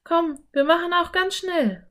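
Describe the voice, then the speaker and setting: A woman reading a children's story, voicing a lively, eager young girl who speaks in a high, excited voice. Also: a little slow, exaggerated voice.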